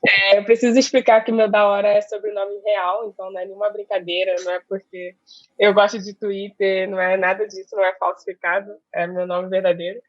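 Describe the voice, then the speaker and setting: A woman's voice: mid-range voice, little pitch movement, brisk, no room sound, muffled recording. A woman in her twenties speaking on an online panel, chatty, with many fillers, over a video call. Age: 20s. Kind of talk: casual online panel talk.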